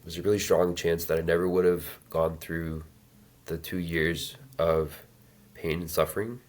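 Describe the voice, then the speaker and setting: A man in his thirties telling his life story as an interview guest, halting with many fillers and restarts. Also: deep voice, flat delivery, a little mellow. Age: 30s. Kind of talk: reflective personal storytelling.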